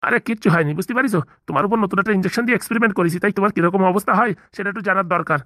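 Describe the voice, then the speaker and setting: A woman's voice: medium pitch, racing, a bit bright, no room sound, studio quality, close to an everyday voice. A woman playing a breezy male hospital doctor in a comedy cartoon, casual, jocular and reassuring.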